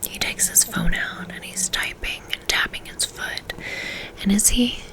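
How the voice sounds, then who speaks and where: A woman reading a first-person novel aloud in a soft, intimate whisper, in a steady ASMR style. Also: unhurried. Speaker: audiobook reader.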